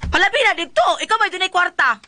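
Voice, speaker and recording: A woman's voice: very high voice, lively intonation, fast, bright, forceful tone, slight background noise, heavily acted. A woman playing a brazen, evasive wife with a snappy, defiant manner in a Filipino comedy radio drama.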